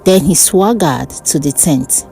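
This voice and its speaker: A woman narrating a dark fantasy folk tale in a dramatic, deliberate way. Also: low-pitched, lively intonation, gravelly, husky. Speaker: storyteller. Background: background music.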